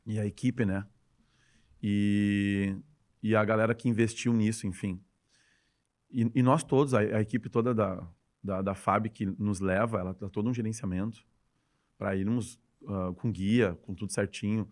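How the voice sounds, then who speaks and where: A man's voice: fairly low pitch, steady tone, darkish tone. A man with a gaúcho Brazilian accent, a dance teacher telling stories with enthusiasm as a podcast guest. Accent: Gaúcho Brazilian Portuguese. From Rio Grande do Sul, Brazil. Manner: enthusiastic, rapid storytelling. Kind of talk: casual interview chat.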